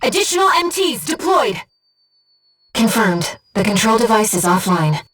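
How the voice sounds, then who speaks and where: A woman playing a gentle, disembodied female mission operator in a video game, earnest and composed, with clearly enunciated tactical callouts. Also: mid-range voice, sing-song, moderate pace, distant mic. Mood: urgent, alarmed. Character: gentle disembodied female voice guiding a mercenary pilot.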